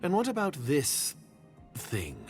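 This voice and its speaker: An actor playing a wary, suspicious agent of a secret faction, speaking formally in an anime video game. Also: male voice, fairly high pitch, huge pitch swings, takes it slowly, loud music behind the voice, exaggerated voice.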